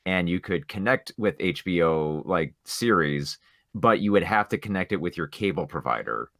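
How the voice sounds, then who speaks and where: A man's voice: deep voice, expressive, moderate pace, a bit bright, dry recording, studio quality. A man co-hosting a casual podcast, explaining things in a loose, rambling way. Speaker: podcast co-host. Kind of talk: casual group chat about pop culture.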